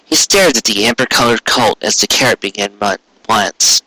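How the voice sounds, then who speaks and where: A man's voice: fairly low pitch, animated, moderate pace, bright, forceful tone, husky, no room sound, low-quality recording. A man reading a scripted story aloud as an audiobook narrator. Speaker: audiobook reader.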